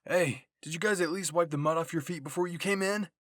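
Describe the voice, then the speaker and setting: Man acting out loud, hot-headed anime teenage boy. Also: a little high, brisk, no room sound, clean studio sound, pitched above the performer's own voice, close to an everyday voice. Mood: irritated, shouting. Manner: loud, exasperated, snapping. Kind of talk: character voices in a fan fiction reading.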